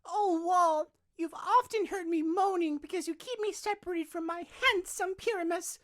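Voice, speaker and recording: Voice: very high-pitched, moderate pace, a bit bright. An actor playing a plaintive lovelorn maiden in a theatrical audio drama. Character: plaintive lovelorn maiden in a clumsy stage play.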